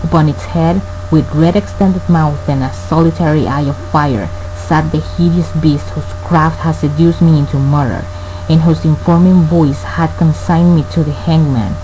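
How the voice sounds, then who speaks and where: A man playing a brooding murderer who narrates his crime in a radio play, formal and deliberate. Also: on the high side, steady tone, dark tone, close to an everyday voice, poor audio. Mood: grim, haunted. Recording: faint hum and noise.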